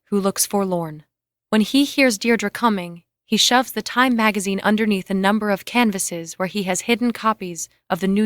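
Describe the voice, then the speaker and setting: Female reader for screenplay directions, medium pitch, scripted delivery, dry acoustics. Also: a bit bright, dry recording. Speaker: narrator reading screen directions. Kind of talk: scripted narration of stage and camera directions.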